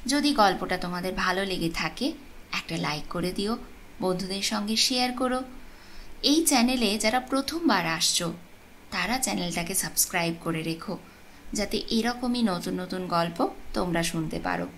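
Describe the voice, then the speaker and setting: A woman narrating a supernatural tale as a storyteller, with expressive, deliberate phrasing. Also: medium pitch. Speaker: storyteller, channel host. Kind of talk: dramatic audiobook narration of a ghost story. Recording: clear background noise and ambience.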